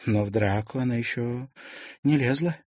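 A man voicing a subdued young woman who speaks quietly and matter-of-factly. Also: mid-range voice, moderate pace, no room sound, clean studio sound, close to an everyday voice.

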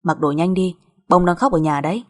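A woman's voice: on the low side, fairly quick, darkish tone, natural voice. A woman voicing a cool, impatient husband who speaks bluntly and tersely in an audio drama. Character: cool, brusque, impatient husband, a grown man.

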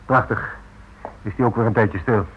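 Man as brisk businessman on muffled recording. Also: fairly low pitch, slight background noise, close to an everyday voice. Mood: brisk, indifferent. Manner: businesslike, matter-of-fact. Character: brisk, indifferent businessman dictating to his secretary. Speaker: actor. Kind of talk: radio drama acting. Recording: muffled old radio recording.

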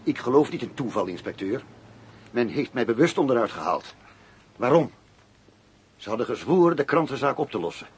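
An actor playing a grave, determined police commissioner in a radio play, speaking in a serious, deliberate, formal way. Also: male voice, mid-range voice, very animated, a little hiss or hum, close to an everyday voice. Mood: bitter, indignant.

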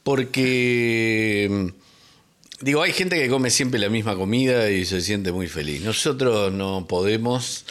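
A man's voice: lowish voice, slow, a bit bright. A man co-hosting a radio music show, chatting casually and thinking aloud with many fillers. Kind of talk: casual on-air chat about music.

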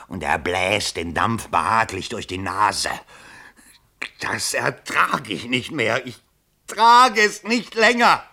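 A man's voice: fairly high pitch, sharp tone, heavily acted. A male actor playing a frantic, scheming bourgeois candidate in a 1960s German radio play, theatrical and exclamatory, moving between mutters and cries. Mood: tense, bitter, then desperate pleading.

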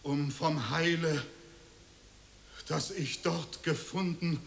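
An actor playing an ominous reciter, intoning fragments theatrically in an avant-garde radio piece, with faint hiss. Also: male voice, on the high side, slow, vocal fry, a crowd in the background.

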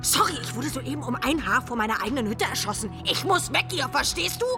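A woman's voice: high voice, animated, brisk, over music. An actress playing a frantic, sarcastic young woman in danger in a radio drama, slangy and exclamatory. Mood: urgent.

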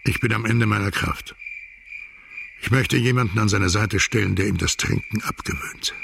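A man's voice: lowish voice, steady tone, moderate pace, creaky, noisy background. An actor playing a stern, middle-aged widowed businessman and exhausted father in a radio crime drama, speaking formally, bluntly and with tight control. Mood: weary, hard.

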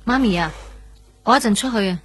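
A young female patient in a Cantonese radio play from Hong Kong. She is frail yet earnest and independent, with a mid-pitched voice. Music plays faintly in the recording. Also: lively intonation, a little fast, breathy edge, no room sound. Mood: mildly defiant. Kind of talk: radio drama acting and first-person narration.

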